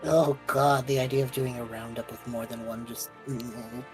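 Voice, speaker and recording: A woman's voice: very deep voice, flat delivery, normal speed, darkish tone, soft background music. A woman chatting casually in character as a player in a tabletop game. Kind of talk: casual in-character role-play chat.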